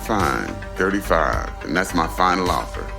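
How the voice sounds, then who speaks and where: A very deep-voiced crime fixer from a video game. He sounds gravelly and calmly bossy, handing down streetwise terms like pronouncements. He is a man used to having the last word. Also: over music, exaggerated voice. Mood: cool, unyielding. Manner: slow, pronouncing, streetwise slang and aphorisms. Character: big shady older fixer, calm, bossy crime middleman.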